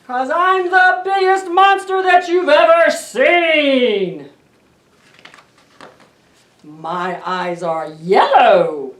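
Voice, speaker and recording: A woman voicing a big, scary but playful storybook monster, chanting boastfully in loud, drawn-out rhythm. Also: high voice, lively intonation, voice pushed up, heavily acted. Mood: gleeful, boastful. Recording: roomy, distant camera microphone.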